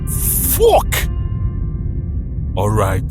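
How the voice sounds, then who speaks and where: Desperate, cowardly man on the run, very animated pitch, agitated pleading, music behind. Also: very slow, heavily acted. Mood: exasperated. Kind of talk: full-cast audio drama acting.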